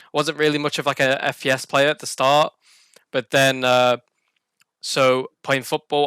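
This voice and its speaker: A man's voice: mid-range voice, bright, forceful tone, clear, resonant tone, no room sound, studio quality. A young man with a northern English accent chatting casually as a podcast guest. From Burnley, northern England. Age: young adult. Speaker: professional esports player, guest. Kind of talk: casual chat.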